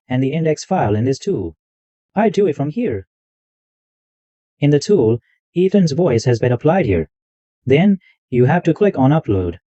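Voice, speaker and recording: A man talks through a software setup step by step, often repeating a phrase as he goes. His voice sits a bit high and swings around a lot, with a mellow tone. The recording is dry and a little dull and lo-fi. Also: no echo. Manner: explains step by step, repeats himself. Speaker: tech tutorial host. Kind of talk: screen-recorded software walkthrough.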